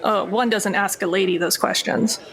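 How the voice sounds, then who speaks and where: Lady doing a sardonic young woman recruit in a tabletop game, dry and reluctant, average pitch. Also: steady tone, moderate pace, a bit bright, lightly acted, rough recording. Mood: wary. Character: reluctant, sarcastic young female recruit agent.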